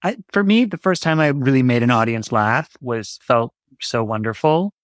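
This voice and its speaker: A man's voice: medium pitch, animated, normal speed, no room sound, studio quality. A man speaking as an interview guest, a writer answering in a reflective, conversational way and searching for his words.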